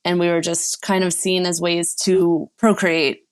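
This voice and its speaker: Young woman coach, low voice, chatty. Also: little pitch movement, a little slow, clear, resonant tone. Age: young adult. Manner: earnest, giggly, many fillers. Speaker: sex and relationship coach, guest. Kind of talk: casual podcast chat.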